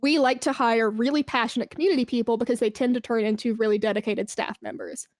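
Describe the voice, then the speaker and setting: Woman podcast guest, highish voice, dry sound, chatting career advice, informal and encouraging. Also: steady tone, fast talker, dry recording. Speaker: podcast guest, esports brand and community manager. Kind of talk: conversational interview, giving career advice.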